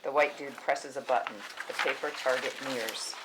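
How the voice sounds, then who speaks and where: A man reads scene headings and action from a film script. His voice has a middling pitch, in scripted table-read narration, with faint noise behind it. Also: fairly even intonation, moderate pace. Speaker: stage-direction narrator.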